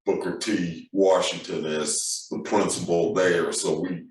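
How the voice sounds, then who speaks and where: Man, deep voice, relaxed storyteller, distant and echoey recording. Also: measured pace. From Jonesboro, Tennessee. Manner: relaxed storytelling, laughs easily. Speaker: panel guest. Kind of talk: casual panel conversation. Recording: echoey room from far off.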